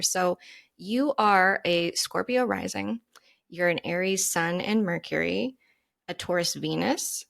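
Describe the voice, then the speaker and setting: A woman podcast host with a gravelly, low-set voice. She speaks with enthusiasm and stretches her phrases out as she leads a relaxed interview. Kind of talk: casual interview chat.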